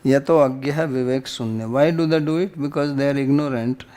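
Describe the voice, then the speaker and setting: A man's voice: medium pitch, fairly even intonation, brisk, dark tone. Indian-accented man teaching scripture. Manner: short phrases, recites Sanskrit then explains. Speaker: spiritual teacher giving a scripture class. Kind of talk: scripture class, explaining Sanskrit verses.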